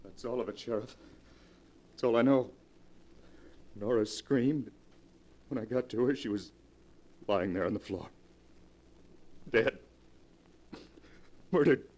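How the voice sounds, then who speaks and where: An actor playing a despairing man accused of murder, halting and emotional in 1940s radio drama style. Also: medium pitch, a little mellow. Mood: distraught. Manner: melodramatic, halting, emotional delivery.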